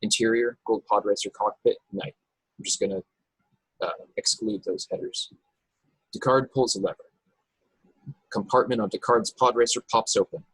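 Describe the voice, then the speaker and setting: A man's voice: fairly low pitch, fairly even intonation, moderate pace, long pauses, fairly bright tone, breathy edge, no echo, rough recording. A man narrating stage directions from a script at a table read over a video call. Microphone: video call, close and dry.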